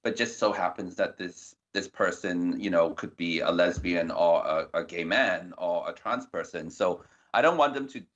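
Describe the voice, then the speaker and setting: A man's voice: normal speed, hardly any pauses, muffled recording. A man from Hong Kong, speaking English with a Hong Kong accent tinged with British, talking animatedly as a filmmaker guest on a podcast. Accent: Hong Kong English with a slight British tinge. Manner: animated, acts out quoted exchanges with sharp pitch jumps. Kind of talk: podcast interview.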